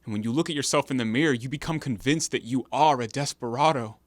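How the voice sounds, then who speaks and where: A man's voice: mid-range voice, fast talker, no echo, close to an everyday voice. A man acting an anguished, earnest former convict in an audio play, with formal, declamatory diction. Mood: bitter, anguished.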